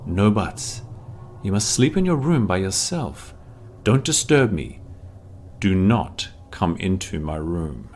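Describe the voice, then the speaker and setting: A man's voice: sits low, big pitch swings, slow pace, a little mellow, a little hiss or hum, full character voice. Man acting out a stern, scolding older sister. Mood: scolding, irritated. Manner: curt, scolding. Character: stern, dismissive college-age older sister. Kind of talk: horror story character voice.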